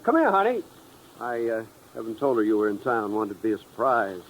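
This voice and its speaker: A man's voice: mid-range voice, very animated, fairly quick, low-quality recording. An actor playing a genial, fatherly older small-town businessman in a 1950s radio drama. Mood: hearty, welcoming. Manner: genial, avuncular. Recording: old radio recording, faint noise.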